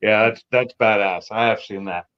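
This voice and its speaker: A man's voice: lowish voice, moderate pace, dull audio. A man joining a car livestream as a guest, easygoing and loose, trailing off with fillers. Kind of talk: casual car chat.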